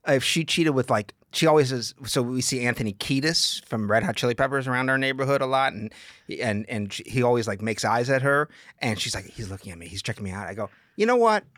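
A man's voice: medium pitch, animated, a little fast, fairly bright tone, dry recording, studio quality. A man with a nasal voice, a comedian guest making self-deprecating jokes in casual chat.

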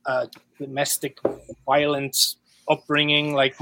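A man in his thirties with an Irish accent, a guest musician talking frankly and profanely, with lots of halting restarts. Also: fairly high pitch, completely flat delivery, creaky, bright, forceful tone, slight background noise, low-quality recording. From Ireland. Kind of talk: candid casual interview talk.

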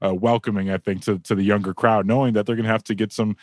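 A man's voice: lowish voice, flat delivery, quick pace, a little mellow, dry recording, clean studio sound. Easygoing American man, casual co-host. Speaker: talk show co-host. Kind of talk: casual chat.

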